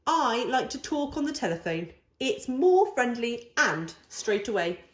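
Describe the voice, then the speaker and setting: A woman voicing a stubborn little girl, lively high voice. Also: a little slow, roomy sound. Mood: confident, matter-of-fact. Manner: emphatic, wordy, childlike phrasing. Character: stubborn, fussy, imaginative little girl, younger sister.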